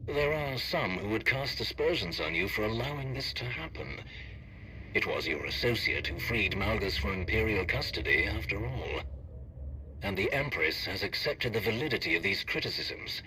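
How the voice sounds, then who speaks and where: A man playing a measured, diplomatic Sith official giving grave counsel in a scripted video game. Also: on the low side, animated, bright, forceful tone, slight background noise, poor audio. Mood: grave, urgent.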